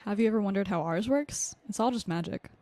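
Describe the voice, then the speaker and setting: A young woman playing an excitable, easily flustered student with a sarcastic streak in an audio drama, animated and prone to stumbling. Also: medium pitch, quick pace, no echo, some chatter behind, close to an everyday voice. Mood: dry, sardonic. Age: young adult. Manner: animated, stumbles over excuses.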